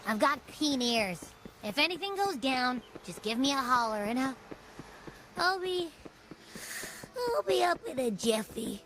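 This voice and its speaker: High-pitched cute little creature sidekick. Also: female voice, big pitch swings, measured pace, long pauses, fairly bright tone, a little hiss or hum, full character voice, rough recording. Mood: sleepy. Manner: chatty, cocky, informal. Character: small cute talking creature companion, playful and boastful. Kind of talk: video game voice acting.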